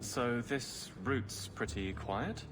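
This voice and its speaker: A man's voice: mid-range voice, takes it slowly, over music, close to an everyday voice. A man playing a plain-spoken, reserved guardsman in a video game cutscene, courteous, hesitant and a little puzzled. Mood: casual.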